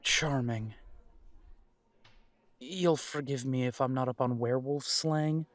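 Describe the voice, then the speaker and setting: Wry, protective male werewolf alpha, acted by a man, mid-pitched, unhurried, dry sound. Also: fairly even intonation, no room sound, close to an everyday voice. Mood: dry, sarcastic. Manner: dry, sarcastic, hesitant when weary. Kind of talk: audio drama acting.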